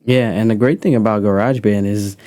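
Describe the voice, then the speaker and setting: A man teaching music recording in a relaxed, rambling way, explaining a studio session. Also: on the low side, a little fast. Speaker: music mentor. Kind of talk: casual teaching.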